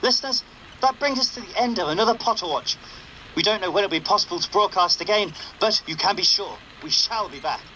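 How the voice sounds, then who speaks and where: A man's voice: high-pitched, lively intonation, brisk, bright, forceful tone, slight background noise, low-quality recording. An actor playing an earnest underground radio broadcaster in a game, rallying his listeners. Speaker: radio host.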